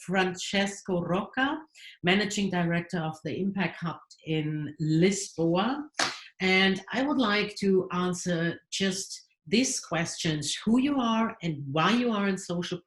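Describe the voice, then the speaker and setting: A woman moderates an online panel discussion, pausing often with fillers. Her voice sits somewhat low, and the room around her rings with echo. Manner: hesitant, many fillers. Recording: roomy echo.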